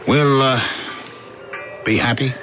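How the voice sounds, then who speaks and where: A man's voice: mid-range voice, fairly even intonation, takes it slowly, a bit bright, soft background music. An actor playing an earnest, downtrodden husband and factory worker finding his confidence, in a 1980s radio drama style. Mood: earnest, tender. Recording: dull old radio broadcast, faint noise.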